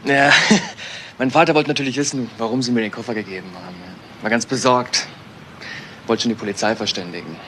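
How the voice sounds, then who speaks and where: Quick, bright-voiced eager young man played by an actor. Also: mid-range voice, slight background noise, close to an everyday voice. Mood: reassuring. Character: eager, trusting young son drawn into intrigue. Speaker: actor in a crime radio play. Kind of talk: German crime radio drama acting.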